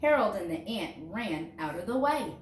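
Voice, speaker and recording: A woman reads a picture book aloud to young children in an expressive way, dramatizing key words. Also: mid-range voice, moderate pace. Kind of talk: storybook read-aloud. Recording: roomy echo, distant mic, faint noise.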